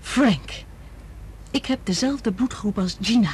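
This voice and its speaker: A woman's voice: medium pitch, lively intonation, slow, darkish tone, slight background noise. An actress in a radio drama playing an anxious, determined woman scientist who speaks urgently and earnestly. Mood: determined, persuasive.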